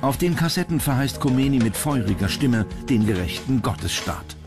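Male documentary narrator, medium pitch, scripted delivery, music bed clearly audible. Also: animated, normal speed. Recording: loud music under the voice.

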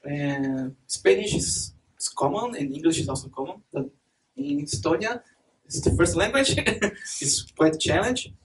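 Brazilian guy giving a conference talk, bit high voice, keeps laughing, sounds far off w faint hiss. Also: lively intonation, a little slow. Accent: Brazilian Portuguese. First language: Portuguese. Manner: chatty, laughs often, many fillers. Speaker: conference speaker. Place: dark event hall with stage and projector. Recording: distant mic with faint noise.

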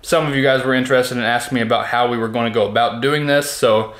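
A man's voice: medium pitch, steady tone, fast talker, a bit bright, roomy sound. A man casually explaining home music recording to his viewers in a behind-the-scenes vlog, talking from his own recording room. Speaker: home studio recording vlogger.

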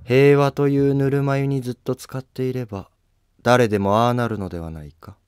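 A man's voice: medium pitch, fairly even intonation, brisk, a little mellow, clean tone, no room sound, natural voice. A man playing a wary, imperious older empress dowager who speaks in curt, commanding, archaic phrases.

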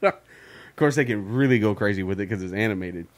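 A man's voice: lowish voice, fairly quick. A man co-hosting a podcast in casual chat, rambling and swearing, often laughing. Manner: profane, rambling, laughs a lot. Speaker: podcast co-host.

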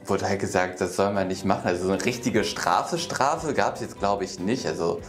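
A man's voice: low-pitched, normal speed, soft background music. A young man chatting casually as he answers questions in a game. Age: young adult. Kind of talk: casual chat. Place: bright indoor room, standing in a group.

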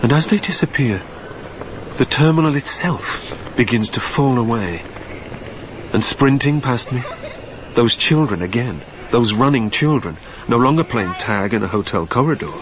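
A man's voice: mid-range voice, animated, moderate pace, noisy background, lightly acted. A man playing a wistful, haunted dead man, narrating a radio drama in a literary, brooding way. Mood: dreamlike. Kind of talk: dramatic first-person scripted narration.

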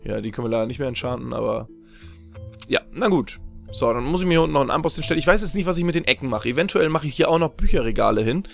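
A young man, slightly hoarse, chatting casually and rambling with many fillers as he comments on his own game. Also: medium pitch, expressive, rapid-fire, faint music behind. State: slightly hoarse after a long stream. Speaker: Let's Play host.

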